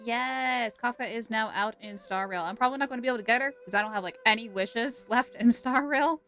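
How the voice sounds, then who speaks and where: A woman's voice: on the high side, brisk, fairly bright tone, faint music behind. A young woman streaming a game live, chatting casually and giggling with her viewers.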